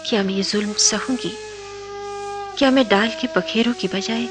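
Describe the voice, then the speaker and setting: A woman's voice: medium pitch, normal speed, over music, lightly acted. An actress playing a defiant young college woman desperately in love, speaking poetically and theatrically in a Hindi radio drama. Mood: anguished, defiant.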